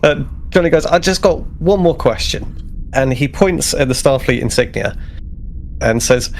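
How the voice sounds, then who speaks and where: Gravelly guy playing a tabletop rpg, casual, medium pitch, slight noise. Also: lively intonation, unhurried. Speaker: tabletop role-playing player. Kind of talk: casual in-game chat and role-play. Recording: faint background noise.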